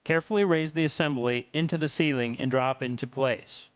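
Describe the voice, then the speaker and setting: A man reads scripted technical narration for an instructional video in a measured, instructional way. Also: medium pitch, clean tone, dry recording.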